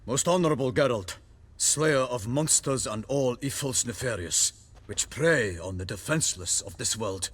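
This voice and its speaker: An actor playing a solemn knight errant in a fantasy game, speaking in a formal, florid, pompous manner. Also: male voice, mid-range voice, animated, normal speed, a bit bright, slightly rough. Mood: grandly ceremonial. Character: solemn, courtly male knight errant bearing a message. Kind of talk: cinematic fantasy game dialogue.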